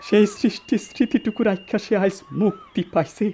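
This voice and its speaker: A man's voice: sits high, fairly even intonation, a little fast, mellow, a little hiss or hum, natural voice. An actor playing a righteous, anguished young man with a rural Bangladeshi accent, declaiming accusingly in a radio drama. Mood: anguished, resolute. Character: righteous, anguished grown son defying his wicked father.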